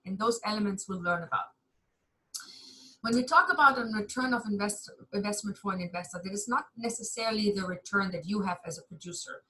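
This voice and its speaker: A woman's voice: medium pitch, pushed and bright, poor audio. A German woman lecturing a university class over a video call, chatty and candid, with some self-deprecating humor.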